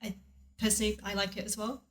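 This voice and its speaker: A woman's voice: mid-range voice, little pitch movement, fast talker. A woman chatting casually in a commentary, giving frank personal opinions with lots of "like" and "personally". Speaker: co-host and partner in commentary.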